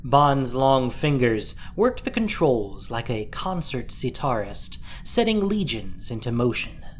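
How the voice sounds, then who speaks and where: A woman narrating a dramatic story from a script, expressive and deliberate in her telling. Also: extremely low pitch, slight background noise.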